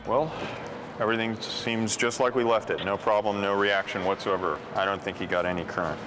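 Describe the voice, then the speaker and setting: A man explaining an experiment at an outdoor testing site, in a calm, dry manner. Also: on the low side, brisk. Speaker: co-host. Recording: outdoor noise behind voice.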